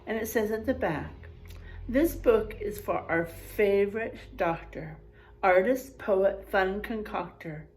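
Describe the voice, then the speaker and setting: A woman teacher reading aloud and chatting to young children in an expressive, encouraging, teacherly way. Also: medium pitch, slow. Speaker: first grade teacher. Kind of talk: picture book read-aloud and chat to young pupils.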